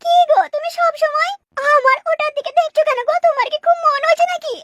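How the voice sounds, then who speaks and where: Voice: very high-pitched, steady tone, quick pace, bright, forceful tone, no echo. An actor voicing a bold young housewife, complaining and coy, in a comic cartoon dub. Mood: coy, teasing.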